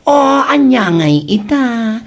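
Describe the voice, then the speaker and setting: A woman's voice: on the high side, sing-song, slow. A woman playing a gleeful, mocking village gossip in a comedy radio drama, theatrical, with an Ilocano accent.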